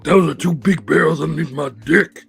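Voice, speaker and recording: A man voicing Bigfoot as a big, crude monster with a gravelly, drawn-out delivery. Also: a little high, soft background music. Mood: crude, boastful.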